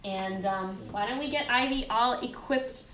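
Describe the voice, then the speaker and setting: A woman with a fairly high voice hosts a casual live stream from a roomy, echoing space. Her tone is bright and forceful. She talks to viewers in a chatty, affectionate way. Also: unhurried, a little hiss or hum. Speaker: host and children's book author. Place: indoors in a room, live-streamed. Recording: echoey room.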